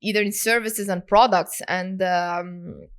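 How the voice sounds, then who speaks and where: A woman's voice: on the low side, steady tone, measured pace, smooth. A woman from Morocco, around her thirties, speaking candidly as a guest in an interview, with frequent fillers and restarts. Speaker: guest entrepreneur. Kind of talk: conversational interview.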